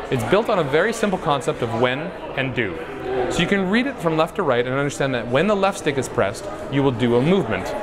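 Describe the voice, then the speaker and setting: A man giving a live product demonstration in an upbeat, playful showman's manner. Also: medium pitch. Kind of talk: live stage demo presentation. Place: busy trade show floor. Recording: loud crowd noise behind. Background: convention crowd.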